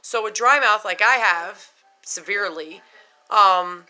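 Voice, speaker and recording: A middle-aged woman with a bright, clear voice talks straight to the camera in a loose, unscripted vlog. She is frank and chatty, tosses in casual swearing and leans on "you know" and "like" as she goes. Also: mid-range voice, takes it slowly, poor audio. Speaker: vlogger sharing denture experiences.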